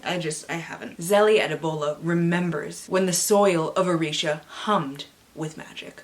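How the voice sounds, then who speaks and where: A woman hosting a book vlog, chatty and playful, with theatrical swings and joking asides to herself. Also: on the low side. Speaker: book vlogger and channel host. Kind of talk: casual chatty book haul vlog with hammed-up dramatic blurb readings.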